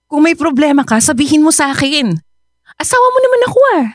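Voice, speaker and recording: A distressed partner confronting the man she lives with in a radio drama. Her voice is high and pleading, and it turns shrill and accusing. It sounds close and dry. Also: big pitch swings, fast talker, no room sound. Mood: worried, pleading.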